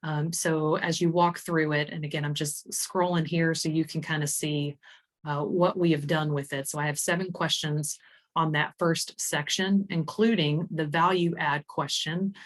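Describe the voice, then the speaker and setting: A woman's voice: low voice, steady tone, moderate pace. A woman presenting a conversational webinar demo, explaining things informally as she goes, with little tag questions. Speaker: presenter demonstrating survey software. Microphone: computer mic on a screen-share video call.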